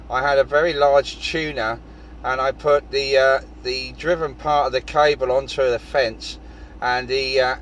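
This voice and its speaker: Man, British accent, mid-pitched voice, rambling casual radio chat, amateur operator, steady vehicle noise. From United Kingdom. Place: in a parked vehicle outdoors. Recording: clear vehicle and outdoor background noise.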